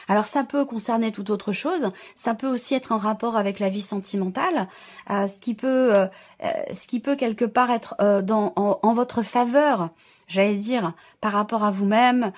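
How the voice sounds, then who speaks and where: Female tarot host, slightly high voice, informal and explanatory, feels her way through phrases with pauses and repeats. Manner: hesitant, searching phrasing with repeated words. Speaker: tarot reader and channel host. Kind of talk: informal tarot reading addressed to viewers.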